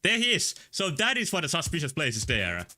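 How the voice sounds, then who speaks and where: Bright-voiced man streaming a game. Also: a little high, wildly swooping pitch, fairly quick. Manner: rambling, thinking aloud with fillers. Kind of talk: casual game stream commentary. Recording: faint game music behind.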